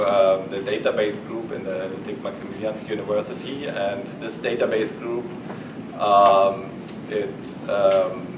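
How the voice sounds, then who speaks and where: German-accented male academic, halting, low pitch, distant mic. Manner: halting, many fillers and restarts. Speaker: professor on a faculty panel. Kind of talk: panel introduction. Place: conference room. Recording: echoey room, far mic, noise.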